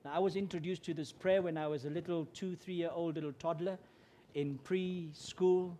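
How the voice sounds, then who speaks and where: A man's voice: on the high side, fairly even intonation, moderate pace. Afrikaans-man preaching. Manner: earnest, grows louder and more emphatic when preaching. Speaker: guest preacher. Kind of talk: sermon.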